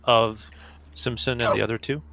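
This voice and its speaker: A man's voice: medium pitch, fairly even intonation, moderate pace, creaky, pushed and bright. A man talking casually as a player in a tabletop roleplaying game, thinking through the next move. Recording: faint background noise.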